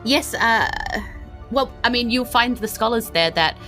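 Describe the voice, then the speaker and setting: A woman plays a stately queen of an undersea realm in a tabletop game. She sounds grand and motherly, yet flustered and halting, with music behind her. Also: a little high, pushed and bright, pitched above the performer's own voice. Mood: gracious, obliging.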